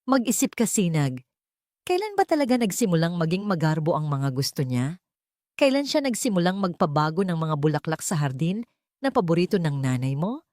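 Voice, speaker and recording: A woman's voice: fairly low pitch, very animated, normal speed, darkish tone, no room sound, clean studio sound. A woman voicing a stern, suspicious older patriarch in an audio story, grave and menacing. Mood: stern warning.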